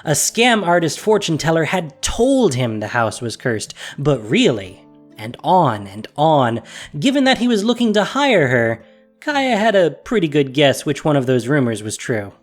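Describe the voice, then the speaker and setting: A narrator reading a fantasy story in a measured, wry manner. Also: mid-range voice, big pitch swings, faint music behind.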